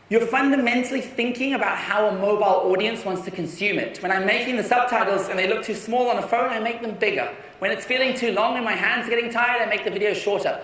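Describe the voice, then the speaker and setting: A man's voice: sits high, far from the mic. Young man giving a keynote in an echoey hall. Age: young adult. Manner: energetic, persuasive, with quick restarts. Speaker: conference speaker. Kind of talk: keynote talk. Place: large conference hall.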